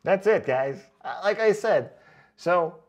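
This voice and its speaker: A man hosting a casual vlog, reading out comments in a chatty, joking way with sarcastic asides and drawn-out comic emphasis. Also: high-pitched, expressive. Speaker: online boot reviewer and video host.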